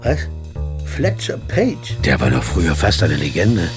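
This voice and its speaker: An actor playing a jazz pianist who narrates in the first person, in a measured, inwardly questioning way. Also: male voice, low voice, huge pitch swings, music in the background, heavily acted. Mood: surprised. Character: male jazz pianist narrating, wry and curious. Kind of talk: audio drama narration.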